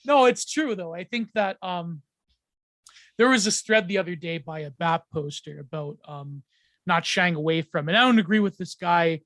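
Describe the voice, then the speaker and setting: High-voiced man rambling through ideas. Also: moderate pace, fairly bright tone, no echo. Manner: rambling, many ums and restarts, laughs often. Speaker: podcast guest. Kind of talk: casual podcast chat.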